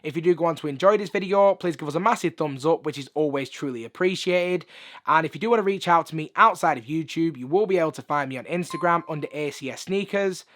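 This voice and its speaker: Man, hoarse, slightly high voice, chatty, upbeat. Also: fast talker, fairly bright tone. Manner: chatty, upbeat, lots of filler phrases. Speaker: sneaker reviewer. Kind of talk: casual video review. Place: room with shoe collection.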